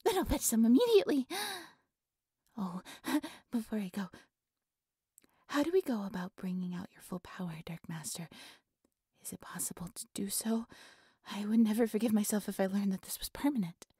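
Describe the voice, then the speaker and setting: A woman voicing a devoted, obsessive high priestess in an audio roleplay, soft and breathy, speaking with formal, fawning reverence to her dark god. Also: animated, moderate pace, dry recording, studio quality. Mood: honored, eager. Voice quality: soft, breathy, often whispery.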